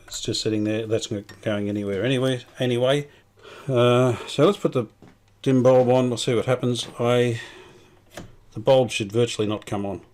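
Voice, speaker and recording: A middle-aged man, talking casually while he tests and repairs an old radio in his workshop, thinking aloud with many pauses and restarts. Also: fairly low pitch, a little mellow. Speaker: radio restorer, channel host. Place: home workshop bench.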